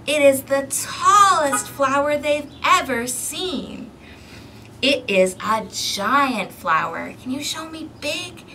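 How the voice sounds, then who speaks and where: Female children's storytime host, 30s. Bright, forward tone, animated and deliberate delivery, outdoor recording off a distant mic. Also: high voice. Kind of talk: interactive picture-book read-aloud for young children. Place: outdoors on an apartment balcony. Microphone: camera microphone a few feet away. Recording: outdoor balcony, distant mic, ambient noise.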